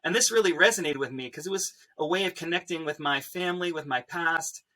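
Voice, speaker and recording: A man with a bright, forward voice that sits a little high. He introduces himself as a panelist, explaining things in measured phrases. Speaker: panelist, composer and pianist.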